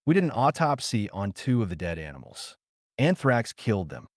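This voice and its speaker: A man voicing a formal, official male judge in an audiobook, speaking in a measured way. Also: fairly low pitch, sing-song, dry recording, clean studio sound. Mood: matter-of-fact.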